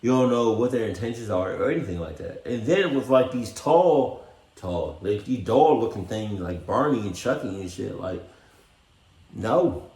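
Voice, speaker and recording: A man's voice: fairly low pitch, lively intonation, normal speed, a little mellow. A man giving casual reaction commentary in slangy, profane, incredulous talk. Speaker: reaction video host. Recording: roomy echo, music behind.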